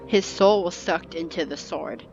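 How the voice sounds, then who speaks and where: Low-voiced woman casually playing a game. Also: measured pace, creaky, highs cut off. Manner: offhand, playful reactions, talks to herself. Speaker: gameplay streamer. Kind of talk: casual play-by-play commentary on a video game. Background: game music.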